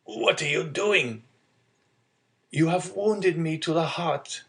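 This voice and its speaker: A man voicing a frail, gentle old father in an audiobook story, meek and halting as he placates. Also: fairly high pitch, creaky, voice pushed up, full character voice. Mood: gentle, placating.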